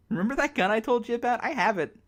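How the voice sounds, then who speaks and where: An actor playing a bitter, despairing emergency radio broadcaster in a zombie apocalypse drama, halting, sardonic and self-berating in his monologue. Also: very high voice, a bit bright. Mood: quiet, resigned dread. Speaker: radio announcer.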